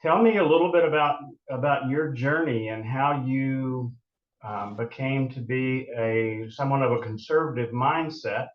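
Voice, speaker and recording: A man's voice: medium pitch, a little slow. A man hosting an interview, courteous and complimentary, sometimes restarting his words. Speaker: interview host. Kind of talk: polite interviewer chat. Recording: echoey room, dull lo-fi sound.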